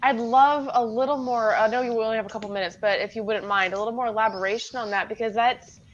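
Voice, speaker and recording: A woman co-host asking a thoughtful, soft-spoken question in a live interview. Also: a little high, steady tone, fast talker, muffled recording.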